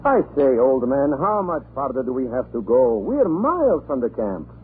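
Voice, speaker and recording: An actor playing a talkative, irreverent Russian government official in a radio drama, chatty, excitable and theatrical. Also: male voice, mid-range voice, moderate pace, husky. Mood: jovial, chatty. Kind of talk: 1940s radio drama acting. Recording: muffled, noisy old radio recording.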